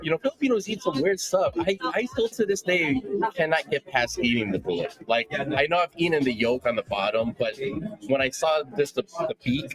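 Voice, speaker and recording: Lively man interviewing amid crowd. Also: a little high, normal speed. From United States. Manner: laughing, animated storytelling. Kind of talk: casual interview chat. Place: busy convention hall. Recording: noisy crowd in convention hall.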